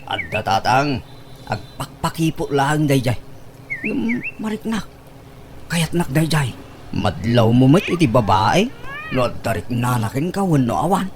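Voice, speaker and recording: An eager young suitor, speaks with an Ilocano accent in a comic radio drama. His medium-pitched male voice moves lively up and down, earnest and obliging, over clear background noise. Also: a little slow. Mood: earnest, hopeful. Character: eager, lovestruck young suitor, polite to elders. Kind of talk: Filipino comedy radio drama acting.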